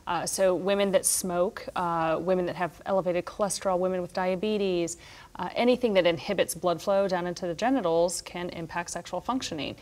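A woman's voice: lowish voice, fairly quick, a little mellow. A woman in her thirties giving clinical explanations on a studio panel. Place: TV studio round table. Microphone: lapel mic.

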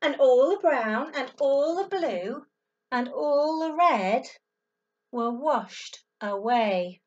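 A woman in her thirties, a teacher, reading a picture book aloud to a child at home, with animated storytelling and dramatic gasps and exclamations. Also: sits high, takes it slowly. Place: small room at home. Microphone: laptop webcam at table distance. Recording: dull lo-fi webcam audio from a distance.

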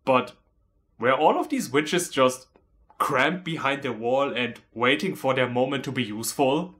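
A man's voice: mid-range voice, measured pace, fairly bright tone. A man in his thirties with a German accent, giving a scripted comedic film review in a sarcastic, theatrical manner. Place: small room indoors, on a couch.

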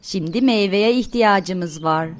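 A woman's voice: mid-range voice, slow, background noise. A woman narrating a cartoon for toddlers in a sing-song, encouraging way, often asking questions. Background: light music behind the voice.

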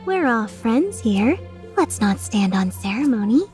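A woman's voice: fairly high pitch, big pitch swings, a little slow, a little mellow, soft background music. A woman voicing a playful, taunting young mercenary villain in a game fan dub, sardonic and mock-sincere. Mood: sly, sardonic.

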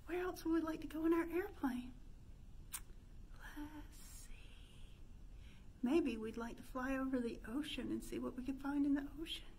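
A woman's voice: high voice, moderate pace, pauses often, darkish tone, a little hiss or hum. A woman in her thirties leading an interactive pretend-play storytime, asking young children questions in a sing-song manner. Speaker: children's librarian hosting storytime. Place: indoor room with stone fireplace.

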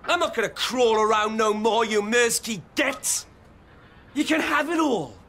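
An actor playing a whining, violent fourteen-year-old British gang leader in a radio drama, talking in florid teen slang. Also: male voice, very high voice, lively intonation, measured pace, a bit bright, heavily acted. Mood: defiant shouting. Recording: noisy radio drama sound scene.

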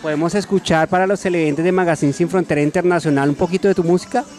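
A man's voice: fairly high pitch, steady tone, fast, darkish tone. A young man interviewing a singer in a restaurant, asking chatty, friendly questions into a handheld mic. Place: decorated restaurant, indoors.

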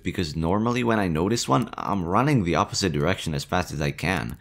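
A man voicing a nervous, gentle young male slime monster in an audio roleplay, talking softly and hesitantly. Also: deep voice, vocal fry. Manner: soft, hesitant, chatty.

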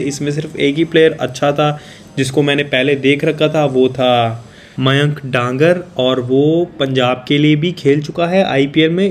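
A man's voice: a little high, a little hiss or hum. A man walking viewers through an outdoor cricket stadium, explaining things casually in a mix of Hindi and English. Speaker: cricket vlogger giving a stadium tour. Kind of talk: walking tour vlog, mixing Hindi and English.

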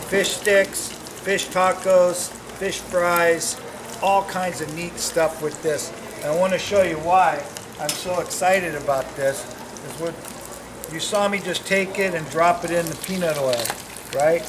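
A man's voice: fairly high pitch, background noise. A man in his fifties, a fishmonger giving an upbeat, joking cooking demo in a small shop kitchen, recorded on a camera mic. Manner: upbeat, joking, salesman-like chatter. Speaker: fishmonger demonstrating seafood.

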